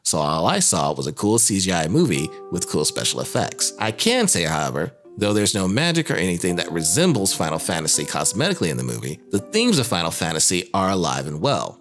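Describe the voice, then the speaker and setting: Lively, wry man over music. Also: low voice. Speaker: video host and narrator. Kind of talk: scripted video essay commentary with casual asides. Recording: music under the voice. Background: background music.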